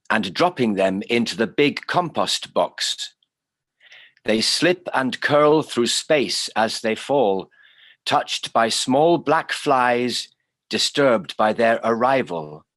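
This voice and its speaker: A man's voice: mid-range voice, a bit bright, no room sound. A man reciting poetry on an online call, plainly and phrase by phrase. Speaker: poetry reader.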